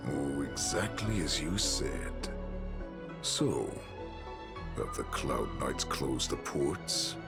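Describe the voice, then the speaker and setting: An actor playing a menacing, predatory wolf warlord in a video game, cruel and theatrical, gravelly and taunting, with breathy whispered endings. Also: male voice, extremely low pitch, animated, drawn-out words, exaggerated voice. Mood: calm menace. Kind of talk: dramatic video game voice acting. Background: background music.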